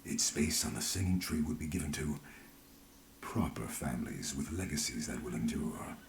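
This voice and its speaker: Very deep, gravelly, brooding man. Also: expressive, normal speed, reverberant, noisy background, full character voice. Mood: brooding, confiding. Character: brooding, guarded man haunted by his disgraced past. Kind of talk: dramatic video game voice acting.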